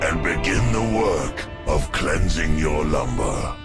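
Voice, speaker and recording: Slow deep-voiced male narration. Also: lively intonation. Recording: loud music over the voice.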